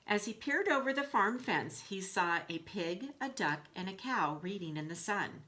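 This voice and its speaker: A woman's voice: medium pitch, lively intonation, moderate pace, bright, forceful tone. A woman school librarian doing a children's storybook read-aloud, talking to pupils in a reassuring, explanatory way.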